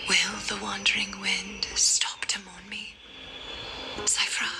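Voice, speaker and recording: A woman voicing a weary, solemn ancient demigod in a video game, soft, breathy and reflective, over music. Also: mid-range voice, level tone, slow pace, very noisy. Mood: solemn, resigned. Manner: reflective, poetic, gentle. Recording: music under the voice. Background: game soundtrack music.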